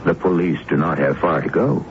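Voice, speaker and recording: A man hosting and narrating a radio drama from a script, theatrical and measured with a sardonic edge. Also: deep voice, animated, background noise. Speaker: host and narrator. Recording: muffled radio broadcast over loud music.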